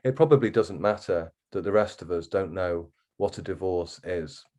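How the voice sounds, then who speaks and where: Male author, lower-pitched voice, expressive reader of first-person fiction. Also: normal speed. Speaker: guest author reading from a novel.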